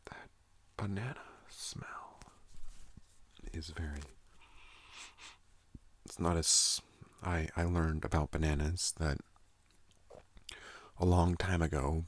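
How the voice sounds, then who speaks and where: A young man hosting an ASMR channel, speaking softly and calmly close to a studio microphone, slipping into whispers. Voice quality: deep gravelly soft voice. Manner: slow, calm, partly whispered. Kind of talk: soft-spoken ASMR talk.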